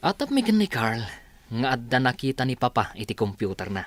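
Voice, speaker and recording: A man's voice: medium pitch, sing-song, fairly quick, dry recording, lightly acted. A man with an Ilocano accent playing the casual adult son of a company boss in a radio drama. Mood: speculating.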